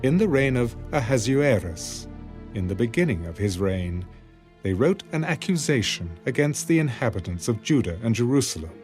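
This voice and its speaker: A man narrating scripture for a dramatised audiobook in solemn, measured phrases. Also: mid-range voice, very animated, darkish tone. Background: music.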